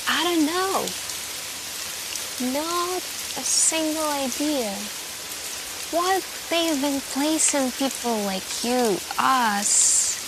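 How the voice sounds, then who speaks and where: Slow, soft-spoken woman acting a shaken hacker friend. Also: sits high, heavy background noise, full character voice. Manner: halting, hesitant, trailing off into questions. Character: shaken young female hacker friend, secretive, protective, earnest. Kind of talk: soft-spoken ASMR roleplay.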